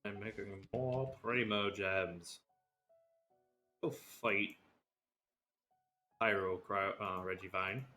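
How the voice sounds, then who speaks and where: A man's voice: fairly low pitch, fairly even intonation, takes it slowly, pauses often, sharp tone, faint music behind. A man doing casual livestream game commentary with wry asides, sometimes reading dialogue aloud. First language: English. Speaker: game streamer.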